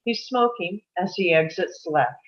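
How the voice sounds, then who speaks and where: A woman's voice: fairly low pitch, expressive, measured pace, highs cut off. A woman reading screenplay stage directions aloud as scripted narration at a table read.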